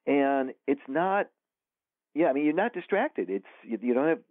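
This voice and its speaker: A man's voice: mid-range voice, animated, moderate pace, no room sound. A man who is an author, chatting casually in an interview, rambling with many fillers and restarts. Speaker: author guest. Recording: dry, tinny phone line.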